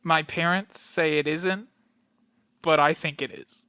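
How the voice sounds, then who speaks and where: A man's voice: fairly high pitch, fairly even intonation, measured pace, bright, forceful tone, clear, resonant tone, no echo, studio quality. An actor playing a troubled young man, guarded, wry and sad, in an audio play. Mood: sad, resigned.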